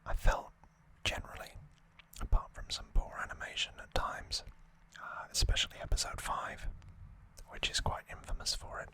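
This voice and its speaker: An ASMR host whispering a trivia summary in a breathy, unvoiced, hushed and measured way. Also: low-quality recording. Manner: slow, hushed, measured delivery.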